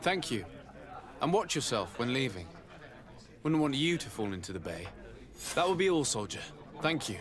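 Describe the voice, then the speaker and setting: A man playing a composed, courteous noble diplomat in a video game, speaking in a measured, formal way. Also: medium pitch, very animated, fairly bright tone. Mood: polite, probing. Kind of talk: video game cutscene acting.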